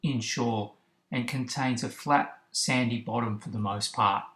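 A man, host of a fishing channel, narrating instructional tips in a steady, explanatory way. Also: mid-range voice, measured pace, background noise. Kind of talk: instructional voice-over about kayak fishing spots. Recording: roomy, echoey recording.

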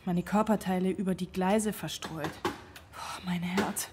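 A woman's voice: lowish voice, fairly even intonation, unhurried, noisy background, natural voice. An actress playing an anxious, overwrought single mother, brooding and confessional in a radio play monologue. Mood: shaken, anxious. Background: music.

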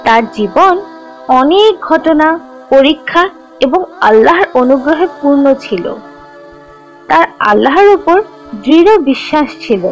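A woman playing a kind teacher who tells religious stories to children in a measured, instructive, scripted way. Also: high-pitched, expressive, faint music behind, poor audio. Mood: instructive, gentle. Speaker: storyteller narrator teaching children.

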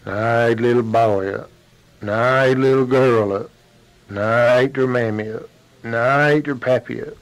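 A man's voice: medium pitch, steady tone, normal speed, natural voice, low-quality recording. A man with a Southern Appalachian accent voicing a big, hungry, menacing grizzly bear in a folktale, chanting boastfully and roaring. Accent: Southern Appalachian American. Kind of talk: folktale storytelling.

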